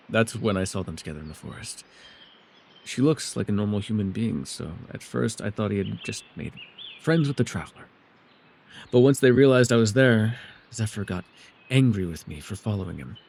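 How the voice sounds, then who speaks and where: A mysterious male elf, acted by a man, speaks in a fairly low voice with very animated intonation. He is a soft-spoken, secretive companion who teases gently and pauses tenderly in an intimate fantasy ASMR roleplay. Light birdsong and outdoor ambience sit behind him. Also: vocal fry, a little hiss or hum. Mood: somber, confessional. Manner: hesitant, sighing, tender conversational asides. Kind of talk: immersive ASMR roleplay acting.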